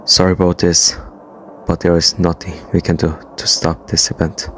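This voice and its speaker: Deep-voiced man reading an emergency alert. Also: flat delivery, moderate pace, a bit bright, a little hiss or hum, low-quality recording. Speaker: emergency broadcast announcer. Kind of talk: scripted emergency alert announcement.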